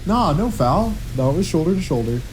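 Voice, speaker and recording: An actor playing a breezy, cocky young college student athlete in an audio drama. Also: male voice, fairly high pitch, big pitch swings, moderate pace, a little mellow, a little hiss or hum, rough recording. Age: young adult.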